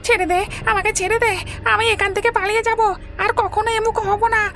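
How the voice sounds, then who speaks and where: A woman voicing a menacing village ghost in a cartoon, with a shrill, creaky voice and a taunting, theatrical manner. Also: level tone, fast talker, a bit bright, faint music behind. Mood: panicked, wailing.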